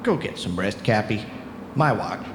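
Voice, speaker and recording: An actor playing a practical, reassuring junior lighthouse keeper in a radio drama, expressive and plain-spoken. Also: male voice, on the low side, measured pace. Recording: echoey, noisy cassette of radio drama.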